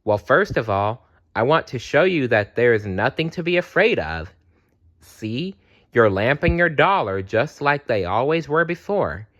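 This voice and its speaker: A gentle, friendly bear from a bedtime storybook, played by a man. He is reassuring and folksy, with a very varied melody to his speech. The sound is slightly muffled. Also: medium pitch, very animated, a little slow, pitched above the performer's own voice.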